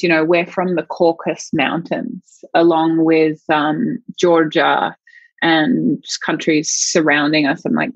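A woman with a very low speaking voice talks through her thoughts as an interview guest, circling and searching as she goes. Her sentences wind loosely while she works ideas out. The recording is dry, with a dull, muffled lo-fi tone. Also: no room sound. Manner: searching, thinks aloud in loose winding sentences. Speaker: sexologist guest. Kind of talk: reflective interview conversation.

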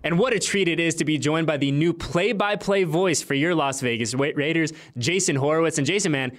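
A man's voice: on the high side, a little fast, fairly bright tone. An American man speaking as an upbeat, chatty sports show host and interviewer, full of enthusiasm. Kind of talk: upbeat sports podcast talk.

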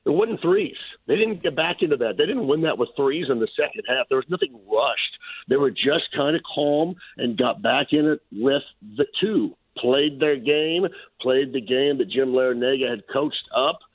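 A man analysing basketball as a guest on a sports radio show, emphatic and repetitive, his voice a little hoarse. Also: mid-range voice, normal speed, no room sound. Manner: emphatic, repeats key points. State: losing his voice, slightly hoarse. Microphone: phone line. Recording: tinny phone line.

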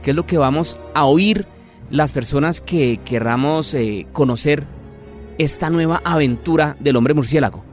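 A man's voice: on the high side, a little slow. Emphatic male podcast host over music. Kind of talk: radio-style presenting and interviewing.

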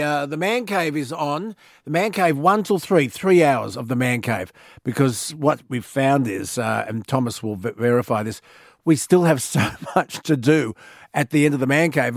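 A man's voice: medium pitch, no room sound, studio quality. A man with an Australian accent hosting late-night talkback radio, encouraging his callers. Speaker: radio host.

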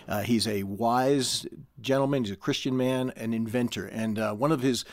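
A man's voice: mid-range voice, steady tone, moderate pace, over music. A man explaining things as a guest in a casual panel discussion. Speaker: guest from an audio drama team.

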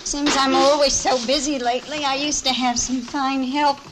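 A woman's voice: high voice, flat delivery, normal speed, lightly acted. An actress playing a small, gray-haired laundry owner in a 1950s radio drama, a bitter, weary mother who talks plainly and complains with resignation. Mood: busy, flustered. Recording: noisy vintage radio recording with clicks.

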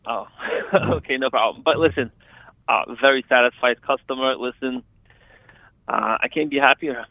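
A man's voice: mid-range voice, moderate pace, vocal fry, no room sound. Man, Polish accent, phone caller, tinny line, dry, hesitant fillers. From Poland. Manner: hesitant, lots of fillers and restarts. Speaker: caller, real estate agent. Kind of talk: casual phone call.

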